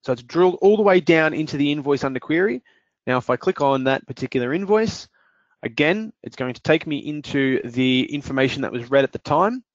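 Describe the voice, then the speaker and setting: A man presenting a screen-share software demo, explaining each step in a steady way with occasional fillers. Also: fairly high pitch, brisk, no room sound, highs cut off.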